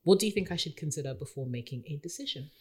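A woman's voice: sits low, fast talker, husky. A young woman co-hosting a podcast, chatting playfully and reading out a listener's letter. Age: young adult. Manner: expressive, playful, jumps in with quips. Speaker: podcast co-host.

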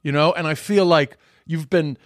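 A man's voice: a little high, moderate pace, no echo, clean studio sound. A man in his forties with an American accent hosting a podcast in casual chat, rambling with frequent restarts. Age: 40s. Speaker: podcast host.

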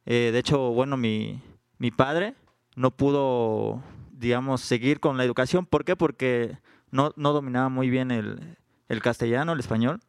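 Mexican guy, smooth resonant voice, stumbles a lot, live podcast, dry sound. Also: medium pitch, a little slow, no echo. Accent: Mexican Spanish. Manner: hesitant, many restarts and fillers. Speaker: band member guest.

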